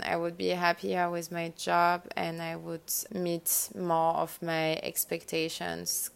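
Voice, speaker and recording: A woman's voice: fairly low pitch, creaky, clear, resonant tone. A woman lighting designer giving a hesitant, reflective interview answer in English, which is not her first language. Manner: hesitant, reflective, with many fillers.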